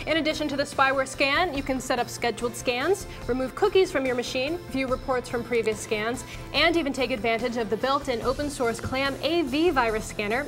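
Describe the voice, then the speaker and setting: An American woman in her twenties co-hosting a tech show in a studio, presenting and chatting. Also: sits high. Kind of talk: presenting software picks and tech chat. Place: indoor TV studio.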